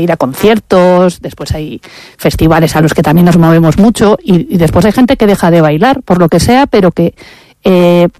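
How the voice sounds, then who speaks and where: Mellow woman. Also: lowish voice, no echo. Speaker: interview guest, member of a swing dance association. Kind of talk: casual conversational interview answers.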